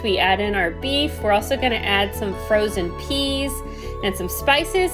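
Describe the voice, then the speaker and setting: A woman in her thirties, a homesteading host, chatting through recipe steps in a home kitchen. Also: fairly high pitch. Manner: chatty step-by-step explaining. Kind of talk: casual cooking voice-over.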